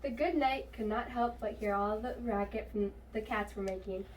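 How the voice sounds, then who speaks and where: A woman's voice: mid-range voice, normal speed, a little mellow. A woman reading a children's storybook aloud. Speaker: storybook reader. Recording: distant mic, faint noise.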